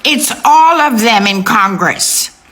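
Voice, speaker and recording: A woman giving impassioned political commentary in an emphatic, indignant manner, repeating words deliberately for weight. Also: a little high, expressive, fairly bright tone.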